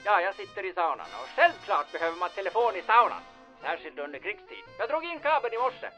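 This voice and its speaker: A man's voice: high-pitched, big pitch swings, pushed and bright, full character voice. An actor playing a fussy, flustered eccentric man who grumbles and stammers in a comic audio drama, with music underneath. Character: flustered, grumbling eccentric male gadget enthusiast.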